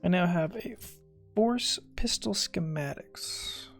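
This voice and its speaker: A man casually commentates over his own gameplay. His voice has a lot of vocal fry, and his remarks are wry and sarcastic. Faint music plays behind him. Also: high voice, slow. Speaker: gamer streaming a playthrough. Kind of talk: casual game commentary, muttering and reading stats aloud. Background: game music.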